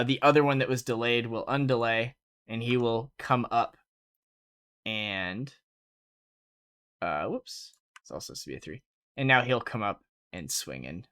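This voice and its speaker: Man, game master, bright tone, lively, filler-heavy. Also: mid-range voice, smooth. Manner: animated, laughs often, many fillers. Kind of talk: narrating a tabletop game.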